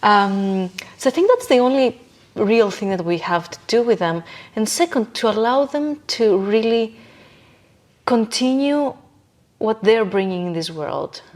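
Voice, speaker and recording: A woman's voice: fairly high pitch, animated, measured pace, a little mellow. A woman being interviewed as a podcast guest, talking conversationally and emphatically, repeating phrases for stress. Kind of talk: conversational interview, sharing views on life.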